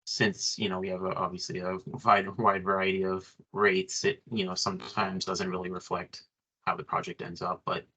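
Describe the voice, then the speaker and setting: Deep, bright-edged man, tentative. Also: flat delivery, normal speed, breathy edge, low-quality recording. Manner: tentative, seeks confirmation. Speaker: training attendee asking questions. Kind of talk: informal workplace question and discussion.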